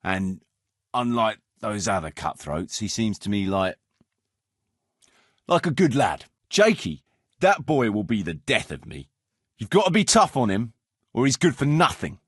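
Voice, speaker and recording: A man's voice: on the low side, big pitch swings, a little slow, pauses often, slightly rough, dry recording, studio quality, full character voice. A man playing a roguish, world-weary medieval mercenary captain in a video game, firm but fond, speaking bluntly and colloquially. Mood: gruffly fond. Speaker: game character, band leader. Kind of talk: video game dialogue acting.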